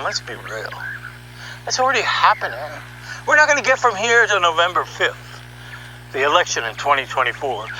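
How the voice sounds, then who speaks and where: Sharp, bright-voiced man riffing sardonically. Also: fairly high pitch, a little hiss or hum, poor audio. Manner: animated, sardonic, conversational with rhetorical questions. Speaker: podcast host and audio artist. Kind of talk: opinionated monologue, reading article excerpts aloud.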